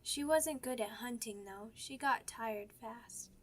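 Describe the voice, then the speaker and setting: A woman voicing an excitable, stubborn young werewolf pup in an ASMR roleplay, with childish, halting, repetitive speech. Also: fairly high pitch. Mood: wistful, earnest. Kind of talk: ASMR character roleplay audio drama.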